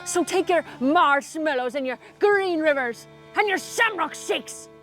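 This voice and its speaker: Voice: sits high, expressive, measured pace, a bit bright, soft background music. A performer voicing a grumpy, disillusioned leprechaun in a comedy sketch. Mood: bitter, fed up. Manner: curmudgeonly ranting. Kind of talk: scripted comedy sketch dialogue.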